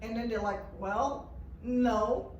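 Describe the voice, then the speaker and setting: A woman's voice: a little high, fairly even intonation, slow, dull audio. A woman co-host who speaks emphatically, lecturing and repeating her key points in a panel discussion. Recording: echoey room from far off, faint noise.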